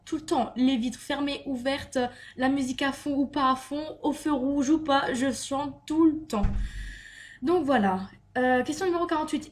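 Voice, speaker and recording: A young woman with a somewhat high voice chats through a list of tag questions for her vlog. She reads each question, then answers in a loose, giggly way, peppered with fillers. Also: slight background noise. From Luxembourg. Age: young adult. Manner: chatty and giggly, lots of "euh" and "donc voilà". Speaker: beauty vlogger.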